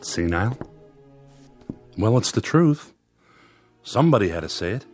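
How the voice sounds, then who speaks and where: An actor playing a blunt, practical but concerned husband in a radio drama, reasoning and persuasive. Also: male voice, lowish voice, sing-song, a little slow. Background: music.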